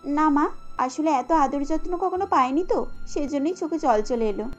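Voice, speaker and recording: A woman acts out a young cartoon bride with a high voice. She is gentle, polite and full of earnest feeling. Music plays under slightly muffled audio. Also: normal speed, natural voice. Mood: tearful. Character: gentle, grateful young bride, modest and emotional.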